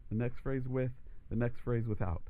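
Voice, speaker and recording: A man teaching a voice lesson, explaining steps calmly and steadily, his voice croaky from allergies. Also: mid-range voice, normal speed, phone-quality sound. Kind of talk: instructional demonstration.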